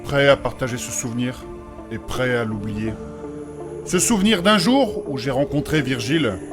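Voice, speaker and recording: A man's voice: mid-range voice, lively intonation, moderate pace, over music, lightly acted. A man playing a courteous, solemn nobleman with old-fashioned formal diction in a fantasy audio drama. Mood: wistful. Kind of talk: French fantasy comedy audio drama acting.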